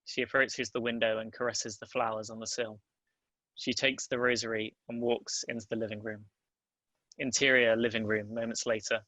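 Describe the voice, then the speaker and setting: Bright-voiced man narrating a screenplay. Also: mid-range voice, level tone, normal speed, dry recording, poor audio. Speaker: narrator reading stage directions.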